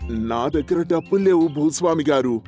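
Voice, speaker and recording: A poor farmer from a village storybook, played by a man, talks in a fairly high voice. He is deferential and pleading, humble before his betters. Music sits underneath. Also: expressive, normal speed, warm tone, close to an everyday voice.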